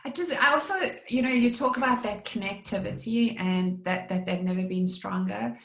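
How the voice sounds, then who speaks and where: Gravelly woman host in a roomy space. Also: medium pitch, moderate pace, fairly bright tone. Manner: candid, self-deprecating, talks about herself freely. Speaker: podcast host. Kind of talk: conversational interview.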